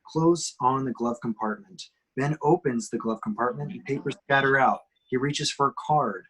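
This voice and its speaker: A man narrates the action lines of a film script at an amateur table reading. His voice is medium in pitch and somewhat husky as he describes each shot. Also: moderate pace, fairly bright tone, poor audio. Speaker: reader of stage directions.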